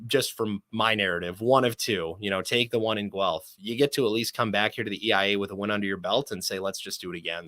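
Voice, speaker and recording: A man's voice: lowish voice, a bit bright, dry recording. A man speaking as a sports broadcaster guest in an interview, enthusiastic and wordy.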